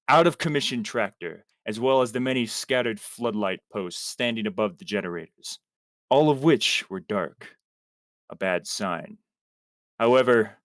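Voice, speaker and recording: A man's voice: mid-range voice, dry recording, clean studio sound. A man reading a piece of fan fiction aloud to friends on a livestream, theatrically, now and then stumbling over words.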